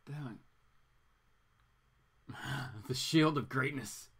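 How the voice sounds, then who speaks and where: A man with a bright, forward voice hosts a casual comics livestream. He rambles along in an animated, geeky way, talking straight to his viewers. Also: medium pitch, extremely slow, slightly rough. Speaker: host of a comics reading show.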